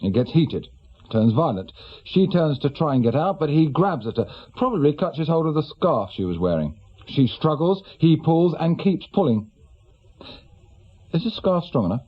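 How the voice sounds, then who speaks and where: A man playing a brisk, middle-aged English police inspector in a radio drama, measured, deliberate and authoritative. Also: a little high, big pitch swings, breathy edge. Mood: methodical. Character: brisk, methodical senior police inspector reasoning out a case. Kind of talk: naturalistic British radio drama acting. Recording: slightly muffled radio drama recording.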